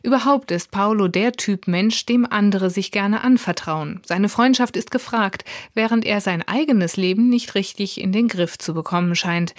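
Woman, standard German accent, radio critic, scripted review, mid pitch, dry studio sound. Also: moderate pace, few pauses, no echo. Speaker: audiobook reviewer.

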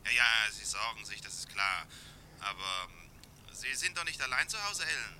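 A man's voice: fairly low pitch, lively intonation, normal speed, sharp tone, a little hiss or hum. An actor playing a polite, reassuring male acquaintance over the telephone in a German radio play. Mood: solicitous. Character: solicitous, eager male acquaintance offering comfort.

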